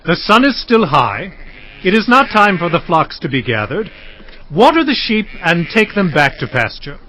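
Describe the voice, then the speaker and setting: An actor playing an earnest, emphatic young traveller and suitor in a dramatized audio Bible. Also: male voice, sits high, big pitch swings, moderate pace, sharp tone, slight background noise, heavily acted, poor audio. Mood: friendly, eager.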